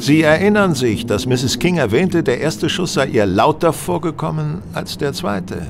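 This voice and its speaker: A man playing a confident, brilliant gentleman detective in an audio drama, speaking precisely and courteously, as if lecturing. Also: a little high, animated, gravelly, noisy background. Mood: dryly courteous. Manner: precise, measured, didactic, courteous. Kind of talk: German audio drama acting.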